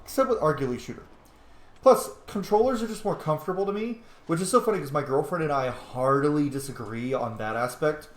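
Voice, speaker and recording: A man's voice: a little high, expressive, a little fast. Man chatting over a game, roomy echo. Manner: rambling, informal, swears. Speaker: gaming video host. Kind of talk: casual let's play commentary.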